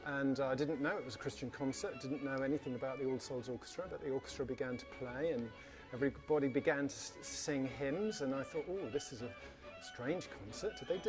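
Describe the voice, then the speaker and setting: An older man, a musician, telling his story in a conversational, reminiscing way for an interview. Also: medium pitch. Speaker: orchestral musician giving testimony. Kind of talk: interview storytelling for a promo video. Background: music under the voice.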